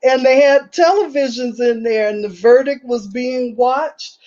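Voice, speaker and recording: Slow-talking Southern woman, muffled call audio. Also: high voice, a little mellow. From American South. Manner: slow, deliberate storytelling. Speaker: panelist. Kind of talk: storytelling in a panel discussion.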